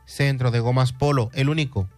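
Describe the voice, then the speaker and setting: A man reading radio advertisements in a measured, clear promotional delivery. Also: mid-range voice, steady tone, no echo, faint music behind. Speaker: ad announcer.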